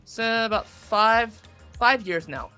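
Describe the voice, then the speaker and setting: A woman voice actress chatting casually as an interview guest, self-critical and animated, acting out her inner thoughts as she goes. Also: a little high, takes it slowly, clear, resonant tone, soft background music. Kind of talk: casual chat while playing a video game.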